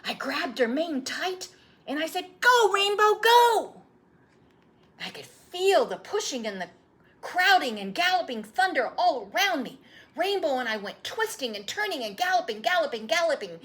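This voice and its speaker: Woman acting as a young storybook boy, high voice, swooping pitch, proud, excitable, earnest. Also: moderate pace, heavily acted. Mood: thrilled. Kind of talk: animated storybook read-aloud.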